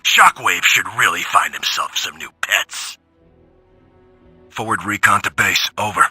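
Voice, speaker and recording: A sardonic robot soldier from a video game. The voice is sharp and raspy, gruff and full of wry complaint, recorded very dry and close. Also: male voice, on the low side, animated, a little slow, no room sound, soft background music, exaggerated voice. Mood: wry reporting. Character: grumbling, sardonic male robot soldier giving war reports. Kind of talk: video game audio log acting.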